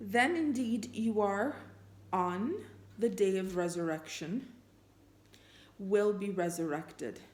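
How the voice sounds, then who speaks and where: A woman whose first language is Armenian, reflecting earnestly on faith and reading passages of scripture aloud, thinking out loud with fillers and restarts. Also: medium pitch, slow pace. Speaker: spiritual vlogger. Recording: echoey room.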